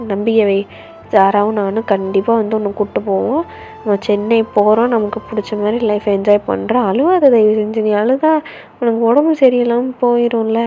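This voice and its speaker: A woman's voice: mid-range voice, narrow, tinny audio, voice pushed down, close to an everyday voice. A woman voicing a loyal young female friend who soothes and reassures.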